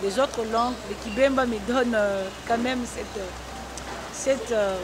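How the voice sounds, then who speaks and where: High-voiced woman, earnest testimony, noisy audio. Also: steady tone, unhurried. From Congo-Zambia border region. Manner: earnest, testimonial, with hesitant restarts. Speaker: gospel singer. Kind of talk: interview answers on a talk show. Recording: noisy broadcast recording.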